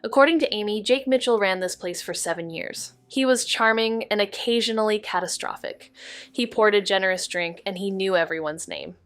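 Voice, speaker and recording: An actress playing an observant, understated investigator in a noir audio drama, measured and deadpan in narration and dialogue. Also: female voice, medium pitch, smooth, no room sound, close to an everyday voice. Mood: brooding narration.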